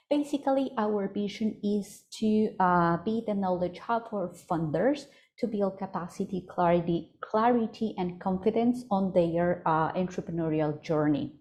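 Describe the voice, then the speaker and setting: A woman's voice: mid-range voice, a little mellow, a little hiss or hum. A woman from Ecuador with an Ecuadorian Spanish accent, presenting a webinar on a video call, hesitant with many fillers and self-corrections. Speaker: project coordinator presenting a webinar. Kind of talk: virtual presentation. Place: home office in Vancouver.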